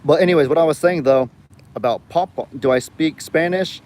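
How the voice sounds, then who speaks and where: A man's voice: on the high side, fairly quick, a little mellow. Young man with a light Southern drawl. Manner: rambling, chatty, reads viewer comments aloud. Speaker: livestream host. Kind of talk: casual live chat.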